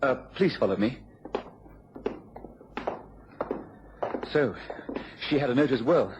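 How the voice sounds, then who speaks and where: Man playing an anxious, quick-talking English gentleman. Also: mid-range voice, lively intonation, close to an everyday voice. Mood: helpful. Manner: hesitant, eager, stammering slightly. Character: anxious, earnest, deferential gentleman seeking help. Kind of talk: 1950s-60s British radio drama acting. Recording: dull, hissy old radio recording.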